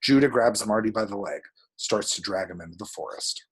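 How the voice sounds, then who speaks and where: A man's voice: fairly low pitch, brisk, husky. A man reading screenplay action descriptions aloud as dramatic, vivid narration at a table read.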